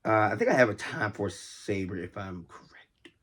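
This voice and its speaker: A man's voice: on the low side, moderate pace, fairly bright tone, breathy edge. An American man hosting a casual, unscripted vlog as a toy collector, talking in a rambling, conversational way and often repeating himself. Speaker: toy collector and video host.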